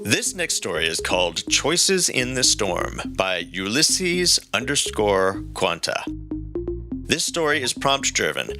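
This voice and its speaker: A man's voice: lowish voice, very animated, slow pace, over music. A man co-hosting a story podcast, introducing and reading prompts in a casual but theatrical style. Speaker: podcast co-host.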